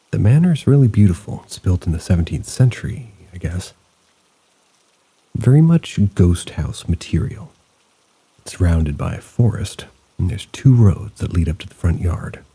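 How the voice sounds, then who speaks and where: A man hosting a channel of paranormal stories, reading listener accounts aloud in a measured, low-key storytelling manner. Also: animated, dark tone, slightly rough, poor audio. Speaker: host and narrator.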